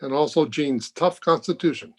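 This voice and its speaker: Brief: open-minded hospital doctor, acted by a man, slightly high male voice, firm and measured, old-time radio mystery. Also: natural voice. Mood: reassuring. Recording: home video-call recording.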